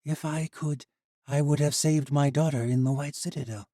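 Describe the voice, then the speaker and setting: A man voicing an ancient girl who speaks softly and sorrowfully. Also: a little high, steady tone, moderate pace, no echo, clean studio sound, voice pushed up, close to an everyday voice.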